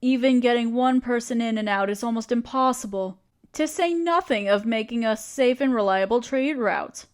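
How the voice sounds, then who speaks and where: A woman's voice: on the high side, moderate pace, clear, resonant tone, natural voice. A woman playing an earnest, caring necromancer in a scripted audio roleplay, speaking directly to the listener in a conversational, reassuring way. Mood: earnest, eager. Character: earnest, caring female necromancer with a grand plan.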